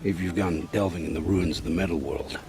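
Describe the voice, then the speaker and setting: An actor playing an uneasy, secretive scavenger in a fantasy game, halting and flustered as he speaks. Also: low voice, steady tone, very noisy, lightly acted. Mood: surprised, wary.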